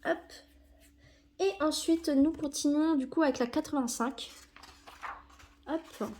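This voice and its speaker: A woman's voice: sits high, slow pace, a little mellow. Chatty young woman with a cold. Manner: rambling, self-correcting, many fillers. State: has a cold. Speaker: YouTuber opening sticker packs. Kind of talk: casual chat while sticking stickers.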